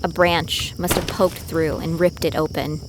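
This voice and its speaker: A woman's voice: deep voice, flat delivery, a little slow, background noise. An actress playing a determined young female bounty hunter in training, narrating her own story in an audio drama. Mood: tense, reflective. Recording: loud music bed behind voice.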